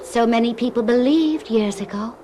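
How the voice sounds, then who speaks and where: An actress plays an alluring young woman in an old-time radio drama. Her voice sits high, with a coquettish, formal politeness that keeps secrets. There is some ambient noise behind her. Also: moderate pace, dark tone, lightly acted. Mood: solemn, charming. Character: charming young French innkeeper's daughter, alluring and secretive.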